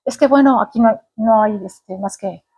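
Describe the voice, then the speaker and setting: A woman preacher from Mexico City with a Mexican Spanish accent, delivering a sermon. Her voice sits high, and she teaches earnestly, pausing on fillers and checking that her listeners follow. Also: darkish tone, slightly rough. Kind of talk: sermon to a congregation.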